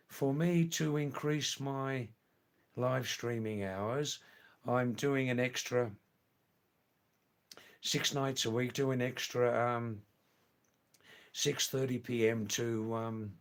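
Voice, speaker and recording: Slow gravelly older man chatting. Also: medium pitch, steady tone. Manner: slow, rambling, repeats words and phrases. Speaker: live streamer. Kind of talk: casual live stream chat reading viewer comments.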